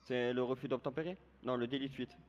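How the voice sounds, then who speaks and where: A man's voice: medium pitch, steady tone, fast talker, lo-fi sound. A man playing a police commander in improvised online role-play, giving bossy, formal orders mixed with threats. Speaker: player acting as a police commander. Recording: gaming voice chat with background noise.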